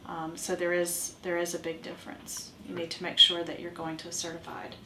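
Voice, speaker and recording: A woman playing a reassuring oncology massage therapist in an amateur role play, explaining in a halting way. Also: fairly low pitch, monotone, natural voice. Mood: reassuring, explanatory. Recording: roomy, distant mic with faint noise.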